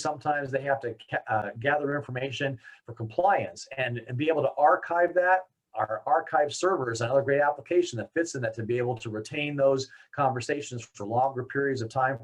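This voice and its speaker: An American man presenting a software product demo in a conversational, explanatory way. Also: medium pitch, poor audio.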